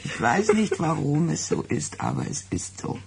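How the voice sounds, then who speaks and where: An actress playing a worldly, soothing middle-aged friend in a German radio drama, coaxing and knowing. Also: female voice, low-pitched, huge pitch swings, normal speed, creaky, background noise, full character voice.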